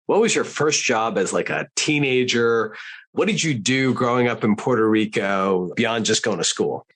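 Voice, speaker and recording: A man's voice: mid-range voice, animated, normal speed, fairly bright tone. A man co-hosting a podcast who is also a career coach, asking friendly, curious questions while he has a cold. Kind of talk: casual interview questions.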